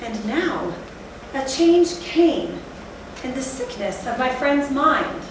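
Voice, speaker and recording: A woman playing a haunted, fearful narrator in theatrical Gothic storytelling, with a deliberate, literary delivery. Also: high-pitched, very animated, exaggerated voice. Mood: somber, uneasy. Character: haunted, fearful narrator recalling a night of horror. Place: theatre stage, recorded from a distance. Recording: echoey hall, distant mic, faint noise.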